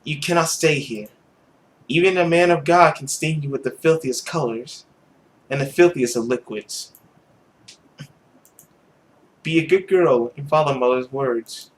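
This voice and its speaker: A man's voice: a little high, moderate pace, natural voice. Man playing a controlling, condescending mother. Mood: stern, warning. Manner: stern, cooing condescension. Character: controlling, belittling, smothering mother of a girl. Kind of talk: visual novel read-aloud character voice.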